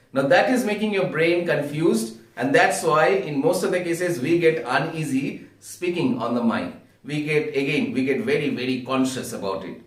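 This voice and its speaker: Man, Indian accent, higher-pitched voice, didactic coaching style, recorded at a distance from the mic. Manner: didactic, often checking with "okay?" and "right?". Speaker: public speaking coach. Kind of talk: instructional talk to camera. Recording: echoey room, distant microphone.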